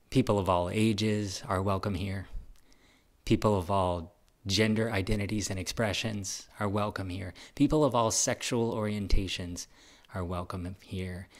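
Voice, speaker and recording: A man speaking as a pastor, inviting a congregation in measured, deliberate, plain-spoken phrases. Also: fairly low pitch.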